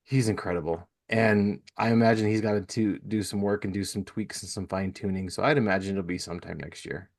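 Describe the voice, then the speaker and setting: A man's voice: on the low side, steady tone, fairly quick, hardly any pauses, darkish tone. A man hosting a podcast, chatting casually and giving plugs in an informal, enthusiastic way. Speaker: podcast host.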